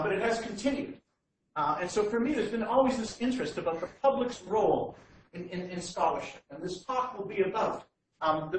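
A man's voice: high voice, far from the mic. A Canadian man giving a public lecture without slides, talking hesitantly with many fillers and restarts. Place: large hall. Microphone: distant room mic. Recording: very echoey hall, heard from afar, faint noise.

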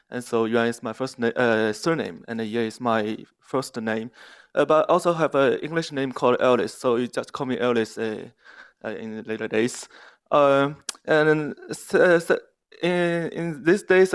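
A man's voice: medium pitch, clean tone. A man introducing himself to a group, hesitant and full of fillers. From China, near Shanghai. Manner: hesitant, many fillers and restarts.

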